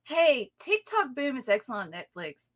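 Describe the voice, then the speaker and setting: A young woman co-hosting a casual comics livestream, playful and quick to laugh as she reads out viewer comments. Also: high-pitched, big pitch swings, a bit bright. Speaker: co-host reading viewer comments. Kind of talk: casual chat.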